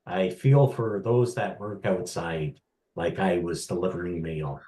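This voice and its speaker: An older man in his sixties speaks slowly and carefully in a lowish voice. He sits well away from the microphone, so his words carry an echoey, roomy sound. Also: warm tone. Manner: slow, measured. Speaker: volunteer minister. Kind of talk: formal self-introduction. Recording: echoey, from far off the mic.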